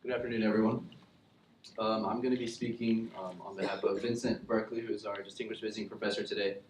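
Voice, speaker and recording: A man's voice: on the low side, level tone, quick pace, slight background noise. A man reading out a guest speaker's biography at a college event, hesitant and full of fillers. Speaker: student presenter reading an introduction. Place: lecture hall. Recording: echoey hall from a distance.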